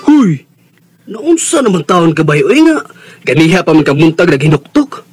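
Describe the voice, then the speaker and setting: A man's voice: a little high, very animated, normal speed, breathy edge, no echo, soft background music. A male actor with a Visayan Filipino accent playing a blunt, nosy, teasing young buddy in a radio drama. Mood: prodding, curious. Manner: blunt, exclamatory. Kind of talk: Bisaya radio drama acting.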